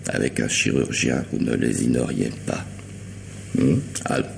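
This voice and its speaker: A courteous, half-mad contract killer with a very low, creaky voice. He is mocking and insinuating, taking his time in an old radio mystery. There is faint noise on the recording. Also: full character voice. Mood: cajoling, sinister. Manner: mocking, unhurried, falsely polite. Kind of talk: French radio mystery drama acting.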